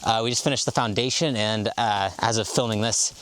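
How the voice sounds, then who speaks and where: A man's voice: on the low side, fairly even intonation, brisk, a bit bright, poor audio. A man with an American accent introducing himself to the camera in a casual, chatty way, easygoing with filler words. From grew up in the United States. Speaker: guest vlogger, beginner.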